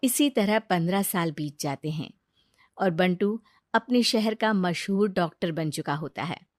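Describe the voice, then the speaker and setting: A woman narrating a scripted moral story in an even storytelling manner. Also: on the low side, measured pace, dry recording, studio quality.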